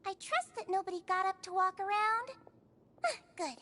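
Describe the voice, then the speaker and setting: A woman voicing a small, gentle, non-human nurse in a game, speaking in a soft, polite, reassuring way. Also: very high voice, lively intonation, normal speed, noisy background. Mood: caring. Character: small gentle creature nurse, patient and caring.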